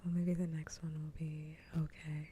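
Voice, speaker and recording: A woman speaks in a soft, low whisper with a dark, mellow tone. Her delivery is casual ASMR livestream chat, kept very even in pitch. A faint rumble of noise sits underneath the close microphone. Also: moderate pace. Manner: soft-voiced, mostly whispering.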